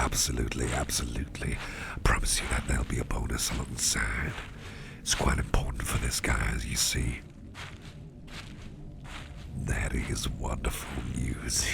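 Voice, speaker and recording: Male ASMRtist playing a fanged boss, very deep and gravelly whisper, chatty and secretive, steady background noise. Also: heavily acted. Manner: soft rambling whisper. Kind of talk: ASMR roleplay.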